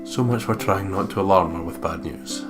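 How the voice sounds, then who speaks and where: A low male voice reads visual novel narration aloud as a let's play host, with casual, playful asides. Clearly audible guitar music plays underneath him. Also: moderate pace. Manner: playful, breaks into laughter and asides.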